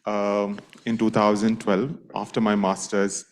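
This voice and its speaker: Thirties man, session host, low voice, halting and anecdotal. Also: flat delivery. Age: 30s. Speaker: session host introducing a speaker. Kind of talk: spoken introduction. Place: lecture hall.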